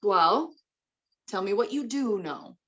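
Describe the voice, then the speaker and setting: A woman's voice: medium pitch, expressive, slow pace, a bit bright, muffled recording. A woman playing a firm, worried, bossy mother in a screenplay table read. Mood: concerned.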